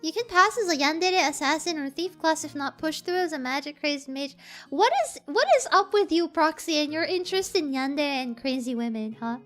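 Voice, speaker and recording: A soft-voiced woman streamer chats with her viewers in a very high, sharp, bright voice. She giggles and repeats herself as she reads their messages. Faint music plays behind her. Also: brisk. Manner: giggly, chatty, stumbles and repeats words. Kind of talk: casual chat, reading viewer messages.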